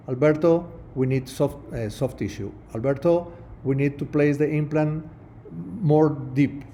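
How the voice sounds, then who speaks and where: Middle-aged Spanish-speaking prosthodontist lecturing online; mellow, warm tone, stop-start delivery. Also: male voice, a little high, slight background noise. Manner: halting non-native English with many restarts. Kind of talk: webinar lecture over slides.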